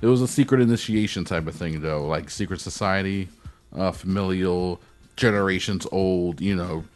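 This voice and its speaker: A man's voice: low voice, moderate pace, a little mellow. A man hosting a podcast, chatting casually in a laid-back, rambling way and swearing now and then. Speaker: podcast host. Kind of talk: casual chat.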